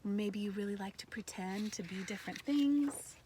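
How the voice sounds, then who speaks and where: A woman's voice: mid-range voice, normal speed, dark tone, slight background noise. A woman teacher leading a read-aloud lesson for young children, explaining things simply and prompting her listeners. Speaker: elementary school teacher.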